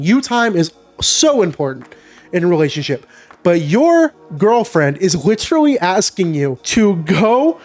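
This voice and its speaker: Young guy giving blunt advice to camera, kinda stuffy and nasal from being sick, pitched a bit high. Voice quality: slightly nasal. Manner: opinionated and emphatic, talking straight to the viewer. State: congested from a sinus infection. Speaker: advice show host. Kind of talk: casual advice vlog, talking to camera.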